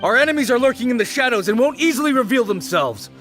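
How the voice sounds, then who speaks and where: Rallying townsman, really high bright voice, urgent speechy, game npc. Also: animated, fast talker, soft background music. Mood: rousing. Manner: declaiming, urgent. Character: fired-up young man rallying a crowd.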